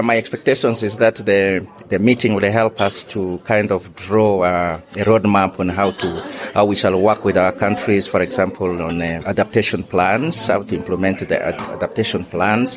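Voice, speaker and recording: A man introducing himself as a workshop participant, speaking in a measured, slightly hesitant way. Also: low-pitched.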